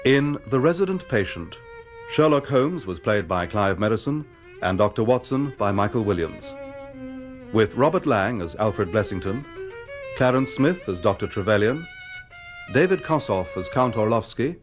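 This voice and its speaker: A man's voice: fairly low pitch, animated, moderate pace. A man reading a scripted credits announcement as a radio announcer. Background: violin music.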